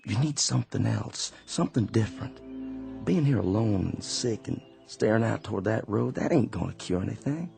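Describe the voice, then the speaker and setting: A soft-spoken veteran in a radio play, low and gravelly, plain folksy talk. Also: male voice, expressive, moderate pace, music in the background. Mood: concerned. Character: gentle, weary man home from war, folk craftsman. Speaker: actor in a radio drama. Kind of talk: radio drama acting.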